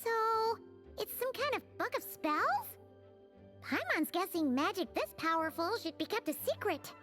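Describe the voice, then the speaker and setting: A tiny, excitable fairy companion from a fantasy game, with a very high, squeaky voice that bounces all over the place. She is chirpy and nosy, and she talks about herself in the third person. Soft game music plays underneath. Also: a little slow, full character voice. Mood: curious. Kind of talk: game voice acting.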